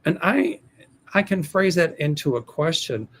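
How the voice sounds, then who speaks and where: A man's voice: a little high, animated, a little slow, fairly bright tone. An older American man hosting a livestream as a psychic, reading viewer questions in a hesitant, rambling way with many restarts. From United States. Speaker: psychic host of a livestream show.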